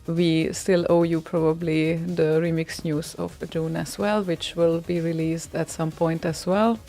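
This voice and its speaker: A woman's voice: low-pitched, flat delivery, unhurried, dark tone, faint music behind. A woman hosting a radio show, chatting casually in a rambling way with many fillers and a dry, teasing humor. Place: radio studio.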